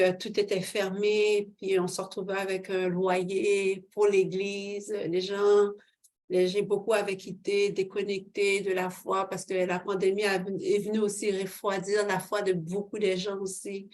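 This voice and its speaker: A woman's voice: medium pitch, darkish tone. A woman pastor giving her testimony in an interview, hesitant with many fillers and growing fervent.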